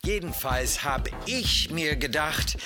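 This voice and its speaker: An actor playing a laid-back, detached commune member in a radio drama interview. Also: male voice, fairly high pitch, lively intonation, takes it slowly, music in the background.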